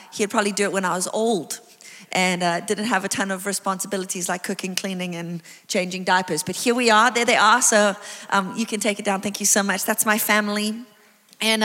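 A South African woman in her thirties preaching a sermon, chatty and animated, with lots of exclamations and asides. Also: medium pitch. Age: 30s. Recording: long reverb of a large hall.

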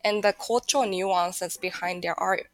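A woman's voice: on the low side, steady tone, a little slow, no room sound. A young woman whose first language is Japanese, talking in a rambling, hesitant way as a podcast guest. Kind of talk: casual chat.

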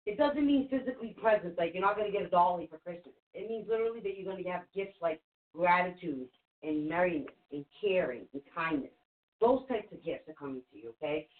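A woman's voice: on the low side, animated, brisk. A woman whose first language is Portuguese, hosting a live call-in psychic reading show in a reassuring, chatty way that repeats things for emphasis. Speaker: spirit reader and show host. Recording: phone-like narrow sound.

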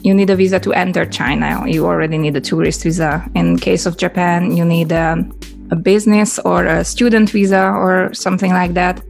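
A woman's voice: lowish voice, steady tone, normal speed, creaky, darkish tone, faint music behind. A woman with a Hungarian accent, chatting casually and rambling through stories with lots of fillers. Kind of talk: casual chat.

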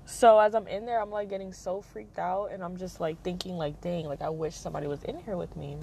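A young woman hosting her own podcast, talking casually in a monotone, rambling manner with lots of fillers. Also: fairly quick, creaky, husky, rough recording. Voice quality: monotone, low-key delivery. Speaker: podcast host. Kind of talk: casual personal monologue.

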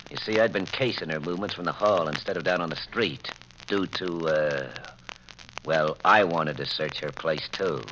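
A man's voice: low-pitched, moderate pace, lightly acted. An actor playing a menacing, double-crossing gangster with slangy tough-guy talk in a 1940s radio drama. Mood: smug menace. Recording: noisy, crackly old radio recording.

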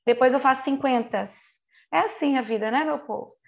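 A woman in her forties with a Brazilian Portuguese accent gives a conversational sermon, stretching key words for emphasis between quick chatty asides and checking in with her listeners through rhetorical questions. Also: sits high, gravelly, lo-fi sound. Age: 40s. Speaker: preacher.

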